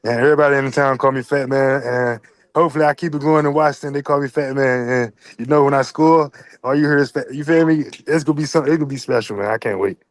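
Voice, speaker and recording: A man's voice: medium pitch, fast talker, no echo. A man in his twenties, an athlete, casually answering a press question. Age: 20s.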